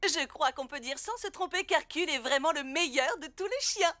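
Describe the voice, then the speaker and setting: A woman playing an excitable female TV news anchor in a French cartoon, polished but gushing. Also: high-pitched, a little fast, no echo. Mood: amazed, gushing. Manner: polished newsreader delivery turning breathless and gushing. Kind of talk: cartoon parody newscast.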